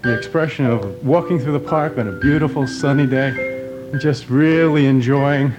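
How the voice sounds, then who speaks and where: Guy coaching mime moves in little repeated cues, music really loud behind him. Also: medium pitch, moderate pace, lo-fi sound. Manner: encouraging, short repeated cues while moving. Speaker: pantomime performer and teacher, guest. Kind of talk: demonstrating and coaching movement.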